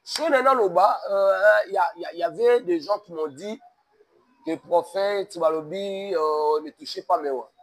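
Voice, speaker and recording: A man's voice: high voice, moderate pace. A man from DR Congo with a Congolese French accent, speaking in an emphatic sermon-like cadence and mixing French with Lingala. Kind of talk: preaching-toned interview talk.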